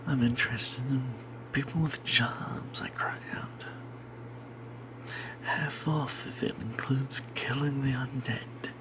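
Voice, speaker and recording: A man narrating a fantasy role-playing tale in first person as ASMR, in a breathy, secretive whisper, rambling wryly as if not to be overheard. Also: medium pitch, steady tone, slow. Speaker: storyteller narrating a tabletop game tale.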